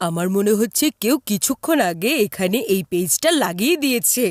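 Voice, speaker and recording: A woman voicing a lively young child in a comic cartoon. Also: medium pitch, a little fast, dry recording, studio quality, lightly acted. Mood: urgent. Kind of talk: cartoon dubbing in Bengali comedy.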